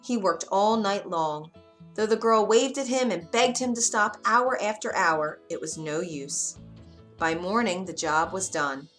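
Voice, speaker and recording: A woman's voice: medium pitch, unhurried, a bit bright. A woman narrating a scripted bedtime fairy tale for children, expressively and with dramatic emphasis. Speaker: children's storyteller. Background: music.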